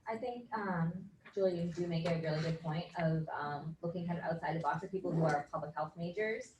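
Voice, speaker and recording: A woman with a lowish voice facilitates a session, encouraging people in the room to speak. The mic is far away, leaving her echoey, with a faint hum behind her. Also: a little fast. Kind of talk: facilitating a group discussion.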